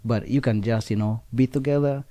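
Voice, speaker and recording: Kenyan-raised man, dark mellow voice. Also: mid-range voice, steady tone, dry recording. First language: Nandi. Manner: halting, frequent fillers and restarts. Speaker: interview guest. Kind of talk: casual conversational interview.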